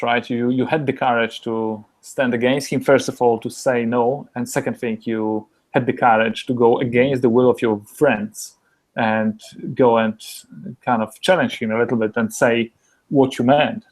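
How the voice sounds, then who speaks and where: A man's voice: medium pitch, fairly even intonation, normal speed. A man interviewing a guest, encouraging him and often giving short words of approval. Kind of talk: interview conversation.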